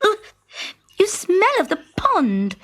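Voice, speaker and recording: A woman plays a spoilt young storybook princess, petulant and dramatic. The voice is very high and swings freely up and down with every demand. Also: wildly swooping pitch, takes it slowly, fairly bright tone, heavily acted. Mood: disgusted, snappish. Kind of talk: storybook character voice.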